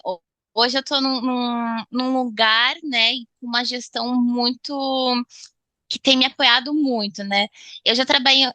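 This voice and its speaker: A Brazilian woman speaking Portuguese with a Brazilian accent, giving a casual interview in a halting way, repeating words as she searches for phrasing. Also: a little high, bright, forceful tone, dry recording. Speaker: interview guest, English student.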